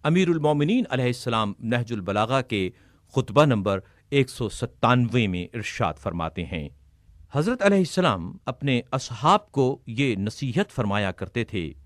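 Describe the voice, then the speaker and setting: A man reading a sermon text aloud in a measured, formal recitation. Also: medium pitch, very animated, dry recording, studio quality. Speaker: reader of religious sermons.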